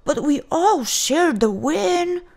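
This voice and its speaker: Storybook boy shark played by a woman: boisterous, dramatic, high-pitched and swooping. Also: animated, takes it slowly, gravelly, a little mellow, heavily acted. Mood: defensive. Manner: exclaiming, dramatic delivery. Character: boisterous young boy shark, eager and easily confused. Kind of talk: children's storybook character voice.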